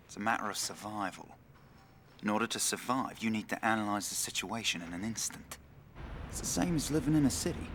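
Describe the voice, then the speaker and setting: A man's voice: mid-range voice, fairly bright tone, slight background noise, close to an everyday voice. A man playing a cynical, streetwise mercenary, matter-of-fact and philosophical in a game interview monologue. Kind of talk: documentary-style interview monologue.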